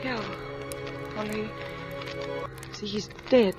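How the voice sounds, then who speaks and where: A woman's voice: mid-range voice, steady tone, slow, a little mellow, lightly acted. An actress playing a polite, shaken young widowed mother, apologetic and hesitant, in 1940s radio style. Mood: wistful, sad. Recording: old radio recording with music.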